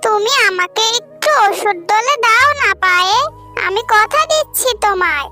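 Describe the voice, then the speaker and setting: Woman voicing a very high-pitched pleading little cub girl. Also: expressive, a little slow, loud music behind the voice. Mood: coaxing. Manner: whining, coaxing, childlike. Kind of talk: children's animated cartoon dialogue.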